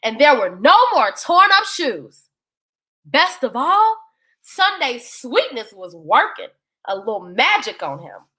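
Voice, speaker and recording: A woman reading a children's bedtime story in an expressive, dramatic, folksy way. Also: high-pitched, takes it slowly.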